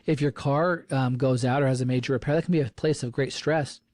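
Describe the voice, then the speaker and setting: A man's voice: medium pitch, fairly even intonation, fairly quick, darkish tone, dry recording. A middle-aged man hosting a podcast, giving earnest advice in a casual way and often restarting his sentences. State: slightly froggy voice. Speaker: podcast host.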